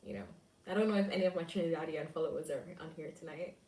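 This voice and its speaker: A woman's voice: low-pitched, racing, mellow, recorded from a distance, slight background noise. Young Jamaican woman, echoey stream. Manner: chatty, answers viewer comments aloud. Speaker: livestream host. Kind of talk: casual livestream chat.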